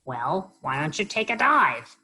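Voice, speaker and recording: Woman playing a croaky, helpful storybook frog. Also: low voice, big pitch swings, measured pace, sharp tone, exaggerated voice. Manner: croaking, matter-of-fact. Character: friendly croaking frog offering helpful advice. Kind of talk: children's storybook character voice.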